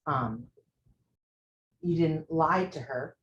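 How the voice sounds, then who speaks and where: A nursing instructor giving measured, reflective feedback to a student, with thoughtful pauses. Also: medium pitch, fairly bright tone, dull audio, a little hiss or hum. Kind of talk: simulation debrief. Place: simulation room. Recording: echoey room with faint ambience.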